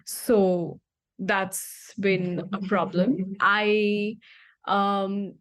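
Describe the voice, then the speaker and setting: A woman's voice: mid-range voice, little pitch movement, drawn-out words, a bit bright. A young woman from Nepal, a guest answering in a podcast interview with many fillers and restarts.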